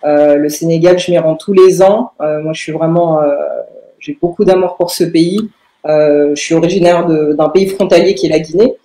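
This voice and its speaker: A young woman, answering in a podcast interview with halting phrasing and very frequent filled pauses. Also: low-pitched, fairly even intonation, warm tone. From Paris, France. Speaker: lawyer, interview guest. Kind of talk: podcast interview answers about own career. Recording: roomy, echoing room sound.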